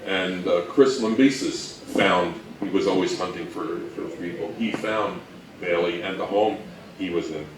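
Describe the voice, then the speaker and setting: A man giving an informal history talk, halting and anecdotal, with dry humour. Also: low-pitched. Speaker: co-presenter of a talk on old-time radio history. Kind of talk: informal lecture to a live audience. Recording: echoey room from far off, faint noise.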